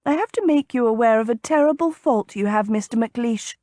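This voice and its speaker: Woman narrator doing a spirited young lady of rank, tart and stubborn, pitched up a bit, very dry close sound. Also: a little fast, dry recording, lightly acted. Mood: teasing. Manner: emphatic, expressive, formal period speech. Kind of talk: audiobook character dialogue.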